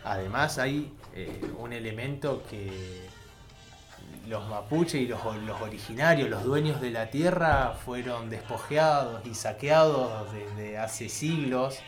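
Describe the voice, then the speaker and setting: An Argentine man speaks as a radio host in a conversational community-radio style. His voice sits at a middle pitch, and music plays behind it. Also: takes it slowly.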